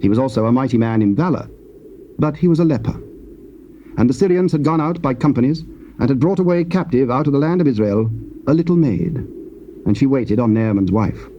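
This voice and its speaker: An English man reading Bible narration in a steady, formal way on a lo-fi tape. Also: medium pitch, brisk, slight background noise. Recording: dull lo-fi tape.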